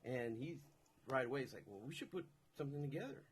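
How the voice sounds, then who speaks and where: Animated Spanish-native musician man. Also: mid-range voice, quick pace, a little hiss or hum. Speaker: musician guest. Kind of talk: casual storytelling.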